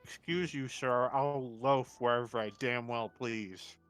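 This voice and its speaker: A man's voice: mid-range voice, fairly even intonation, measured pace, fairly bright tone, clear, resonant tone. A man casually reacting and chatting during a gameplay stream. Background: game music.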